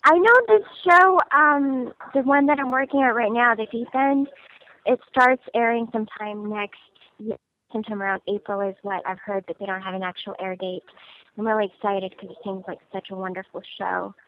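An actress being interviewed over the phone, chatty and bubbly, laughing often. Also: female voice, mid-range voice, moderate pace. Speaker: actress guest. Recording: tinny phone line.

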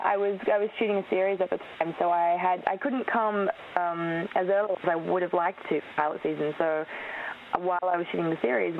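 A woman's voice: on the low side, creaky. A young Australian actress in her twenties, whose first language is Polish, chatting casually in an interview with lots of ums and laughs. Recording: tinny phone-like radio line with faint hiss.

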